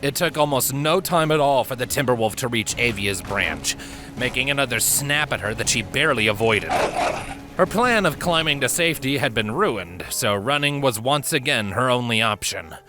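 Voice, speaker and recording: A man reading a story as a measured, expressive narrator in a dramatic scripted style. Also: mid-range voice. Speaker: fan fiction narrator. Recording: prominent music and effects behind voice. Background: background music, sound effects.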